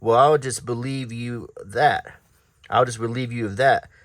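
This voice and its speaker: A man's voice: medium pitch, steady tone, unhurried, a bit bright, no echo, clean studio sound, lightly acted. A man voicing a tough, taunting kidnapper villain from an adventure comic strip. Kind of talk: comic-strip read-aloud.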